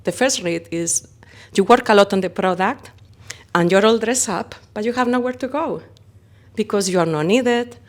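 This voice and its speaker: A woman's voice: mid-range voice, normal speed. A woman from Spain with a Spanish accent, a company founder talking expressively in a conference interview. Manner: animated, expressive, uses vivid comparisons.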